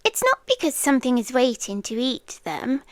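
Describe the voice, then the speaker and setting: A British woman playing an ancient, tender and possessive female monster in an intimate ASMR roleplay, hushed and often whispering with lingering pauses. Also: high-pitched, sing-song, no room sound. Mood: grave, ominous.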